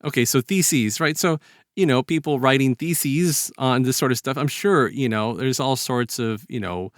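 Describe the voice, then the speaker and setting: Male podcast guest, mid-pitched, casual and reflective, halting delivery with repeated words, recorded very dry. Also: dry recording. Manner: halting, repeats words emphatically as he builds a point. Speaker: podcast co-discussant.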